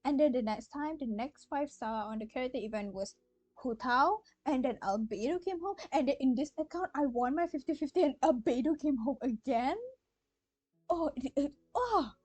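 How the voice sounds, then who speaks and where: A young woman from Malaysia, an excitable game streamer chatting casually and exclaiming to her live viewers. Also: high-pitched, moderate pace, dry recording. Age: young adult.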